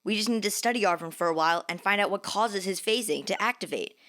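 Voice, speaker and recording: A woman's voice: medium pitch, quick pace, a bit bright, lightly acted. A woman voicing a snappy teenage gang boy, sarcastic and argumentative, in a dramatised fanfiction reading. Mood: defensive.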